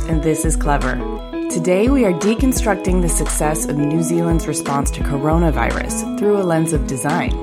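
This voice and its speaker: A woman hosting a design podcast with an earnest, enthusiastic manner, reading an intro and then chatting with guests. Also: sits low. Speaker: podcast host. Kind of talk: scripted intro and casual interviewing.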